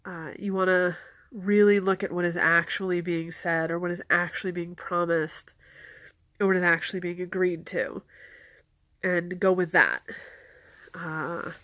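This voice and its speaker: Woman, stuffy cold voice, low-pitched, dry close sound, careful explanatory talk. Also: steady tone, unhurried, a bit bright, dry recording. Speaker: folklore speaker giving advice.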